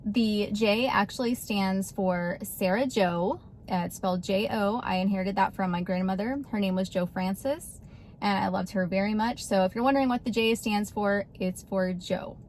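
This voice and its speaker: A woman in her thirties from Texas, chatting casually to camera and laughing often between animated bursts. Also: mid-range voice, moderate pace, slight background noise. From Texas, USA. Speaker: vlogger.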